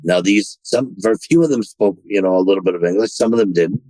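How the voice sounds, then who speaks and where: Low-voiced man, halting business talk. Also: dark tone, no room sound, rough recording. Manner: many restarts and repeated words, talks in quick bursts. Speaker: dealership general manager, guest. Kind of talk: podcast interview.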